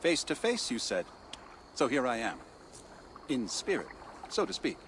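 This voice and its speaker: A shrewd middle-aged spymaster in a game cutscene. He is dry and probing with very lively intonation, over faint background noise. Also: medium pitch, unhurried. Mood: wry suspicion.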